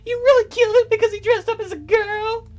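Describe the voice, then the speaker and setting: Bitter, self-righteous wife for a visual novel, acted by the player, pitched high, light music underneath. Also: steady tone, fairly quick, lo-fi sound. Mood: cold, bitter. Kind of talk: visual novel character voicing. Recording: music behind.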